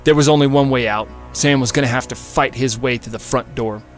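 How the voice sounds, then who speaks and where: A man's voice: mid-range voice, fairly even intonation, fairly quick. A man giving a dramatic scripted narration of a fiction story as an audiobook narrator. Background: music.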